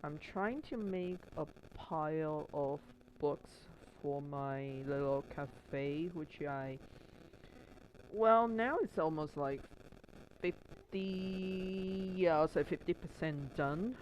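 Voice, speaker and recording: A man's voice: on the high side, slow, slight background noise, rough recording. A man from Taiwan with a mixed Australian and Canadian accent, chatting casually on a stream while crafting, rambling with many restarts and fillers. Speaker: Twitch streamer.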